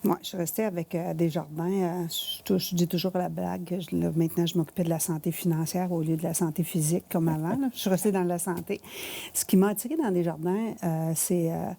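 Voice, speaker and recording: Quebec lady in her 60s chatting in an interview, kinda low mellow voice, pauses and hesitates. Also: fairly even intonation, creaky, slight background noise. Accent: Quebec French. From rural Quebec, Canada. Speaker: interview guest, finance manager. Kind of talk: conversational interview answers.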